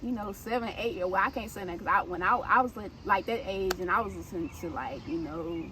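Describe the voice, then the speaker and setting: A woman answers interview questions. She is laid-back and hesitant and fills her pauses with ums. Steady background noise runs clearly under her voice. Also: mid-range voice. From South Carolina. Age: 20s. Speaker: rapper, guest.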